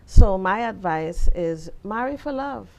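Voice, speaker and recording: A woman's voice: mid-range voice, animated, unhurried, gravelly, darkish tone, low-quality recording. An older woman from the Bahamas with a Bahamian accent, a guest in an interview giving firm, preacherly advice. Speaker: interview guest, retired teacher and pastor's wife. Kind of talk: conversational interview.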